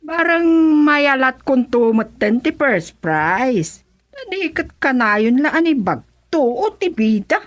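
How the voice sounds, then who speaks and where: A woman playing a chirpy, boastful gardener in an Ilocano comedy radio drama, with drawn-out comic delivery. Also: high-pitched, big pitch swings, dry recording, heavily acted. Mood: proud, chirpy.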